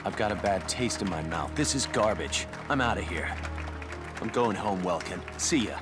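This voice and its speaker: A gruff game soldier with a low voice. He is blunt, cynical and grumbling, with music playing clearly behind him. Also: animated, moderate pace, crowd noise. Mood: disgusted. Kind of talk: video game cutscene acting.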